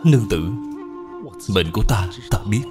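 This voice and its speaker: Animated role played by a man: sick devoted husband, low tender voice, resigned and earnest, clear music behind. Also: normal speed, natural voice. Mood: weary, resigned. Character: ailing, gentle young husband, resigned and loving. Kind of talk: animated film dubbing. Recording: prominent music under the voice.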